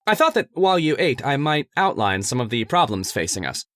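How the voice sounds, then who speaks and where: A man playing an earnest, persuasive scientist's assistant in old-time radio horror style, speaking formally and deliberately. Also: medium pitch, very animated, fairly bright tone, a little hiss or hum. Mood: measured, ominous.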